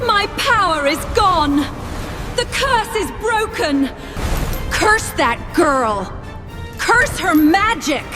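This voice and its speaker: A woman plays a bitter, wicked fairy-tale witch. Her very high voice is spiteful and dramatic, over a music bed. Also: lively intonation, slow, heavily acted. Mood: bitter, furious.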